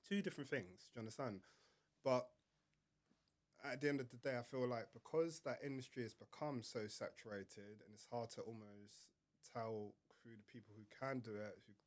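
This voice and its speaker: A man, his voice a little croaky from illness, at a middle pitch. He talks through his business at an even pace, leaning on fillers like "kind of" and "you know.". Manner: measured explanations with fillers. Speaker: podcast guest, entrepreneur. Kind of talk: casual podcast interview.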